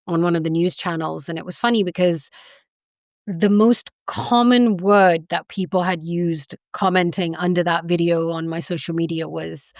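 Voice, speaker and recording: A woman from England talks as an academic interview guest, earnest and impassioned, leaning on "you know" as she goes. Her voice sits low with a gravelly fry at the ends of phrases. The sound is close and dry. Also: no room sound. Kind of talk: conversational interview.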